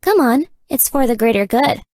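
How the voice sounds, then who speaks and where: A woman voicing a dry, deadpan, nerdy young gamer girlfriend in a dating game. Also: high voice, normal speed, no echo. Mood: dry, awkwardly affectionate. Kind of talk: scripted dating game character dialogue.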